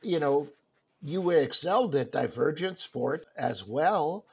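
A man's voice: on the high side, animated, slow pace, darkish tone, breathy edge, poor audio. A man hosting a sports interview, talking in a rambling way with many fillers and restarts. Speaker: host.

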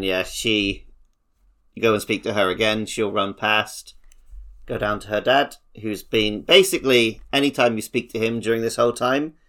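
A man's voice: fairly low pitch, a bit bright. A man co-hosting a casual video game podcast, chatting informally. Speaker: podcast co-host.

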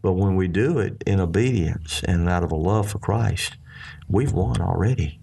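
A man's voice: low voice, moderate pace, creaky, mellow, breathy edge. A man with a Southern US accent, talking about faith in an earnest, folksy way and often restarting his sentences. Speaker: ministry leader. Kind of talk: casual faith discussion.

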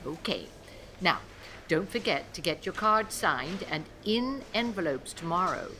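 A woman's voice: lowish voice, animated, sharp tone, slight background noise. A woman playing a kindly but firm elementary school teacher in a radio play, speaking carefully as if to young children. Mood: cheerful, patient. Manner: measured, enunciating as to young children.

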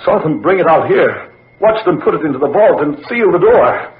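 A man's voice: on the high side, expressive, normal speed, close to an everyday voice. An actor playing a terrified, guilty man cornered by the supernatural, pleading and repeating himself in 1940s radio horror style. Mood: shaken. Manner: breathless, repetitive, pleading. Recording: noisy, echoey, dull old radio recording.

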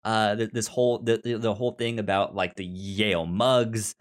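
A man's voice: lowish voice, steady tone, normal speed. A young man co-hosting a casual movie discussion, stammering and often repeating sounds and words as he talks. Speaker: podcast co-host.

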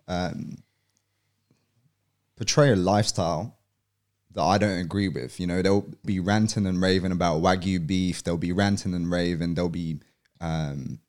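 Deep-voiced man chatting casually. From Poland. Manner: relaxed, frequent "um" and "you know". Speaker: podcast guest. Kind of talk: casual podcast conversation.

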